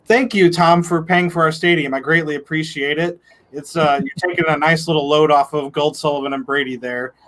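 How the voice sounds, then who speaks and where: A man's voice: on the high side, steady tone, brisk, fairly bright tone. A man speaking as a guest on a football fan show, opinionated and wry, laughing along with the hosts. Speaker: podcast guest. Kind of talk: casual football fan chat.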